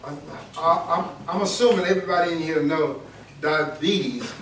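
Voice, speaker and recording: A man's voice: on the high side, normal speed, fairly bright tone, slight background noise. An older man giving an informal, joking health talk to a group, folksy and prone to repeating himself. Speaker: wellness speaker. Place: indoor room with an audience. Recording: roomy, from a distance, with a crowd.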